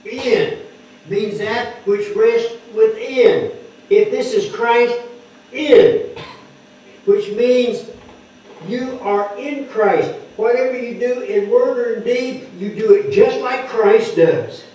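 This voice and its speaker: An older man preaching at a lectern, explaining scripture word by word and stressing key words loudly. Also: extremely high pitch, takes it slowly, recorded from a distance. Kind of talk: sermon teaching scripture. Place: wood-paneled church hall, speaking at a lectern. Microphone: microphone on the lectern, heard from a distant camera. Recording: echoey hall heard from afar, dull.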